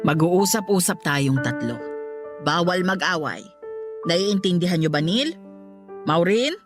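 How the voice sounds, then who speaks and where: An actress playing an older grieving mother with a Filipino accent, gentle but firm as she keeps the peace in a Tagalog radio drama. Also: lowish voice, lively intonation, unhurried. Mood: stern. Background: soft music underneath.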